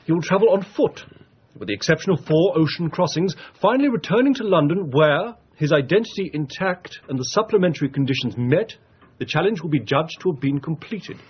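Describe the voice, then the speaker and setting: An actor playing a meticulous, formal lawyer in a period radio drama, speaking in precise, measured phrases. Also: male voice, on the high side, expressive, husky. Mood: formal, officious. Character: formal, meticulous male lawyer reading out wager terms. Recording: dull lo-fi radio copy, faint hiss.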